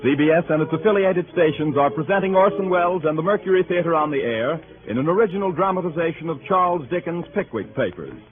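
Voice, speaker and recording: A male radio announcer in the formal style of the 1930s. His voice sits fairly high and measured, heard through a thin, narrow recording with faint music. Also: animated.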